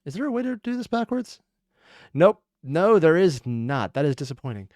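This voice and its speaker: A man's voice: fairly high pitch, sing-song, moderate pace, a little mellow, dry recording, clean studio sound. A man giving casual, sweary, exclamatory reaction commentary as the host of a video.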